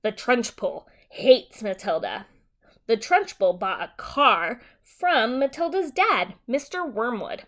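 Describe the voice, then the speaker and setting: Slow, high-pitched, animated woman teacher reading aloud. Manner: deliberate, simple explaining for young children. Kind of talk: read-aloud of a simplified children's story with brief explanations.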